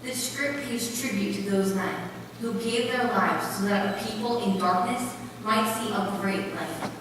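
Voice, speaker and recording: A woman's voice: mid-range voice, a little slow, close to an everyday voice. A woman playing an earnest missionary narrator in a declamatory, scripted readers' theater recital. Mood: solemn. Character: narrator and missionary pilot's devoted sister, earnest. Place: large indoor recital hall, distant microphone. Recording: echoey hall from far off, faint noise.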